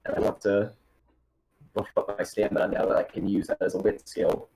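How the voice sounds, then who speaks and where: A man's voice: fairly low pitch, monotone, fairly quick, creaky, mellow, low-quality recording. A man playing a tabletop roleplaying game, speaking in a casual, joking way with the group.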